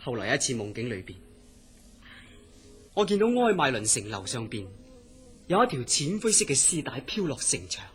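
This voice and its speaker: Actor as obsessed dreamer, dramatic, swooping Cantonese delivery. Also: male voice, mid-range voice, sing-song, moderate pace, long pauses, a bit bright. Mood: obsessed, intense. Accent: Hong Kong Cantonese. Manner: earnest, dramatic storytelling. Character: troubled dreamer man, obsessed with a mysterious dream city. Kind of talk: Cantonese radio horror drama acting.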